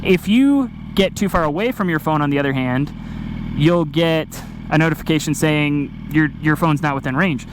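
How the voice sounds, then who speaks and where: A man telling a personal travel story in a casual, conversational way, acting out quotes with emphatic pauses. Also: on the high side. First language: English. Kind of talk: animated first-person storytelling, casual chat. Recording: noisy outdoor recording with vehicle sounds.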